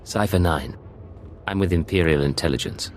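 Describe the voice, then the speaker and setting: An actor playing a cool, clipped secret agent in a video game, curt and confident. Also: male voice, deep voice, normal speed, a little mellow, a little hiss or hum, natural voice. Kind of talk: scripted video game acting.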